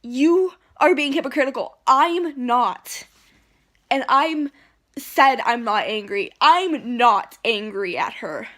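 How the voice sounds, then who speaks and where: A woman's voice: high voice, expressive, takes it slowly, a bit bright. A woman ranting indignantly into her phone at home, rambling and restarting as she argues. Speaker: vlogger. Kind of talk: heated rant to camera. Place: at home, indoors.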